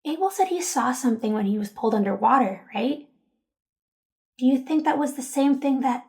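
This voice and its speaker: A woman's voice: high-pitched, animated, normal speed, a little mellow. A woman playing an anxious yet hopeful, loyal teenager in an audio drama, speaking earnestly and tentatively. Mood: worried.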